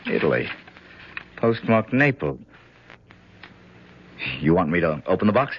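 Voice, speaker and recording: An actor playing a senator's tough younger aide, serious and clipped, in a 1970s radio drama. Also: male voice, sits low, big pitch swings, measured pace, a little hiss or hum. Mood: wary. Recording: dull 1970s radio recording.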